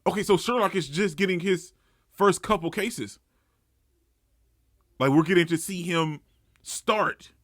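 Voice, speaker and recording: A man giving casual, off-the-cuff reaction commentary, thinking out loud as he goes. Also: high-pitched, measured pace, pauses often, no echo, clean studio sound. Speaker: anime reaction host.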